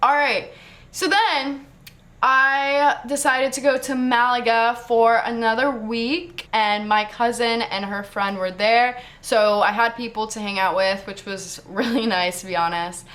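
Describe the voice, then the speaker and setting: A young American woman telling a travel story to camera in a chatty, casual way, full of fillers and quick laughs. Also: fairly high pitch, fairly even intonation, fairly bright tone. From United States. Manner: chatty, fast, lots of "like", laughs often. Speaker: travel vlogger.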